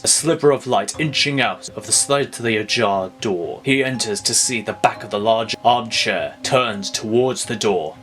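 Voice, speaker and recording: A man's voice: fairly low pitch, a bit bright. A man reading scripted, dramatic present-tense narration as the storyteller of an audio drama. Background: music.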